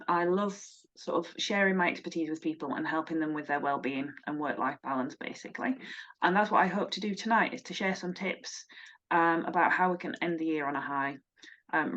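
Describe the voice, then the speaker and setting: A woman's voice: low-pitched, fairly quick, fairly bright tone. A woman, a wellbeing coach introducing herself in a friendly way with frequent hesitations. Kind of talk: casual self-introduction. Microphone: video call. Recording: dull lo-fi video call audio.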